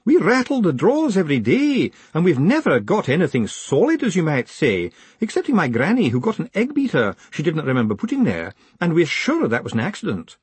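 Man doing a sobbing older woman clerk, high wailing voice, very breathy, swoops all over. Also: huge pitch swings, a little fast, dry recording, exaggerated voice. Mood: tearful. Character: tearful older woman post office clerk, melodramatic.

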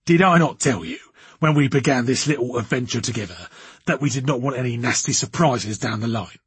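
A man voicing a loud, blustering, angry boss in an audiobook, brusque, sarcastic and humorless. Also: mid-range voice, animated, brisk, slightly rough, no room sound.

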